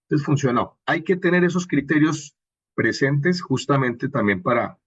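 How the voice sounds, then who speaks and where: A man's voice: medium pitch, expressive, moderate pace, fairly bright tone, husky. A man with a Colombian accent giving an informal, explanatory lecture as a workshop instructor, often checking in with short questions. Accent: Colombian Spanish. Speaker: workshop instructor on radio drama and podcasting. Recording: muffled, dull lo-fi audio.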